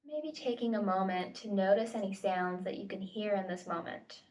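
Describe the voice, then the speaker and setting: A woman facilitator leading a guided body scan meditation with gentle, tentative invitations and pauses, recorded at home. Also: mid-range voice, steady tone. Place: at home, indoors. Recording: home recording, distant mic.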